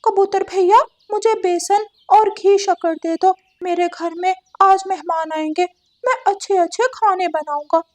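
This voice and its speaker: Excitable cartoon parrot husband, woman performer, shrill-high pitch, gullible and eager. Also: a little slow. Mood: excited. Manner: eager, repeats phrases. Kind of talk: Hindi cartoon story dialogue.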